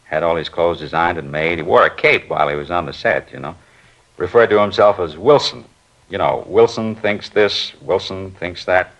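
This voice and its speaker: A man's voice: low voice, normal speed, a bit bright. An actor playing a talkative film studio worker who rambles through his stories as a witness in a 1950s radio crime drama. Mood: chatty. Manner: chatty, rambling storyteller. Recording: muffled old radio recording.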